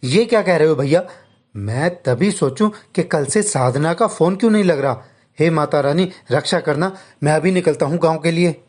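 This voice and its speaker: A man's voice: fairly high pitch, animated, normal speed, darkish tone. A man voicing a humble, devout, hardworking married villager in a story. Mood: worried. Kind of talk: story dialogue.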